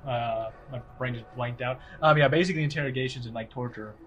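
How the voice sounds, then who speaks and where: A man's voice: mid-range voice, fast, a bit bright, a little hiss or hum. A man chatting casually as a player in a tabletop role-playing game. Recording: dull lo-fi sound, music underneath.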